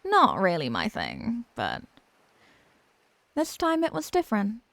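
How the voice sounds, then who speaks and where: A woman's voice: mid-range voice, huge pitch swings, slow, vocal fry, fairly bright tone, no room sound, heavily acted. A woman playing an obsessive, haughty young mermaid princess in a roleplay ASMR drama, sweetly smug and condescending. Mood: haughty, amused.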